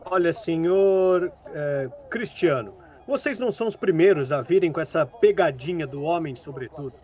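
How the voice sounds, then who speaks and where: Man acting high-voiced, dismissive male police officer. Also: animated, a little mellow, natural voice. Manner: formal, unhurried, sceptical. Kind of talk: audio drama acting.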